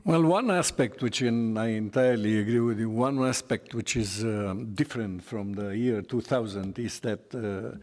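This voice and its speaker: Middle-aged man, panelist, conversational with restarts, fairly low voice, desk mic, reverberant hall. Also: moderate pace, hardly any pauses, darkish tone. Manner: conversational, with frequent "you know" and restarts. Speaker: conference panelist. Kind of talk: formal panel remarks. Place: large conference hall, seated at a desk. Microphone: desk microphone.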